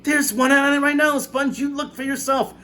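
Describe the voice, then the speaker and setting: A man voicing a grim, tall, bony aunt who shrieks orders in a shrill voice. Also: steady tone, normal speed, pushed and bright, slight background noise. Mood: excited.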